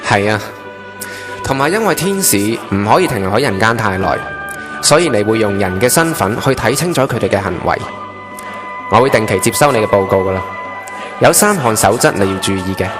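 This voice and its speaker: Man playing a low, formal chief angel, Hong Kong accent. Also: expressive, a little fast, lightly acted. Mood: solemn, instructing. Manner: formal, authoritative, instructing. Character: solemn male chief angel briefing a newly made trainee angel. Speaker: voice actor in an online radio drama. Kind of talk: Cantonese radio drama acting. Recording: music bed under the voice.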